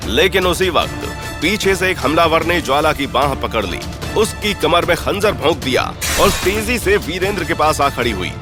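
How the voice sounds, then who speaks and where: Male narrator, audio drama: suspenseful, theatrical, very expressive intonation, music underneath. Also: fairly high pitch, normal speed. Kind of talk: dramatic scripted story narration.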